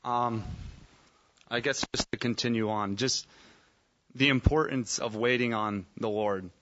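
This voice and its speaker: A man's voice: medium pitch, fairly even intonation, a little slow, dull audio, background noise. A man adding a thoughtful, somewhat tentative comment in a group Bible study discussion.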